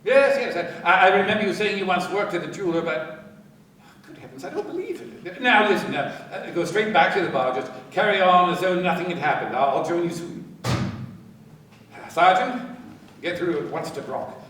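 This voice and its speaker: Man acting a police inspector, radio play, measured, dryly ironic, highish voice, distant echoing recording. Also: expressive, fairly bright tone. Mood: urgent. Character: composed, dryly triumphant male police inspector. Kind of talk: radio crime drama acting. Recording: very echoey, distant, faint noise.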